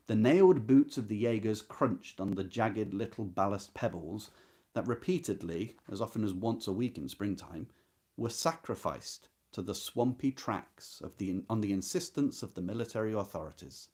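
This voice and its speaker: A man's voice: on the low side, darkish tone. A man hosting a literary readalong, reading and explaining with engaged emphasis, repeated key words and rhetorical questions. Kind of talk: book discussion with expressive read-aloud passages.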